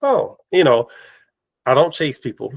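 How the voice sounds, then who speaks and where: A man hosting a casual podcast chat, his voice raspy from allergies, telling lively stories and acting out quoted remarks. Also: mid-range voice, a little slow. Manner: animated storyteller, acts out quoted exchanges. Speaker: podcast host. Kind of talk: casual conversation.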